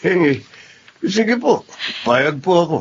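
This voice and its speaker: Earnest Filipino engineer husband, low-fi sound. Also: male voice, very animated, takes it slowly, lo-fi sound, slight background noise. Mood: pleased. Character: downhearted, loyal engineer husband, earnest and polite. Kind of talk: Filipino radio drama acting.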